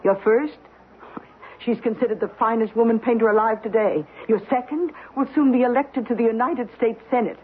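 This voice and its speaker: An actress playing a bitter, proud, middle-aged wronged wife in an old-time radio drama, speaking in an articulate, cutting, deliberate way. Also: female voice, fairly high pitch, breathy edge. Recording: tinny, noisy old radio recording.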